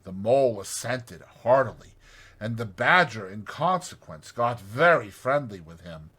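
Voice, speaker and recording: Male audiobook narrator, scripted storybook reading, forceful and clear-edged, lively intonation. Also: medium pitch, a little slow.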